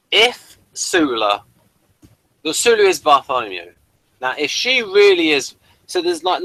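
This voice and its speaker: A man's voice: medium pitch, pushed and bright, no room sound, rough recording. A man hosting a casual review discussion, speaking in a hesitant, rambling and apologetic way. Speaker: host of a TV review show.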